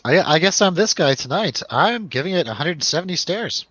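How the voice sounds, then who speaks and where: A man's voice: on the high side, lively intonation, a little fast, a bit bright, no echo. A man hosting a casual movie review chat, joking and irreverent in the way he talks. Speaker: host of a movie review show.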